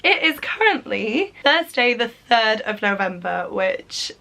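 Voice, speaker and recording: A chatty woman book vlogger from Wales talks to camera in an upbeat, casual way. Her fairly high, bright voice is hoarse from having lost it. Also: big pitch swings, slow pace.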